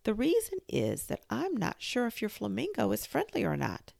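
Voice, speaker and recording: A woman's voice: a little high, wildly swooping pitch, moderate pace, gravelly, darkish tone, a little hiss or hum, pitched above the performer's own voice, heavily acted. A woman voicing a fussy, moralizing old Duchess in a storybook reading, with a preachy, sing-song manner.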